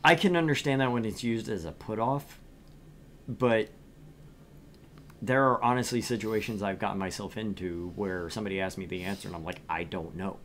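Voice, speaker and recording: A man's voice: fairly low pitch, moderate pace, a little hiss or hum. A man streaming games and chatting casually in a jokey way. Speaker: game streamer.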